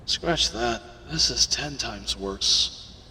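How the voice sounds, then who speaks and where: A man voicing a captive young werewolf hero, gruff, defiant and wounded, in an audio roleplay. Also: medium pitch, expressive, extremely slow, sharp tone, long reverb tail, slight background noise, heavily acted, poor audio. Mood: frustrated, dazed.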